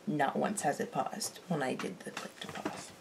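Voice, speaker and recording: Raspy-voiced young woman vlogging at her laptop. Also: deep voice, fairly even intonation, moderate pace, heavy background noise. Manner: chatty, self-deprecating, swears under her breath. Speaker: TV reaction vlogger. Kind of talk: casual chat to camera. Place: her bedroom. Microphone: laptop mic.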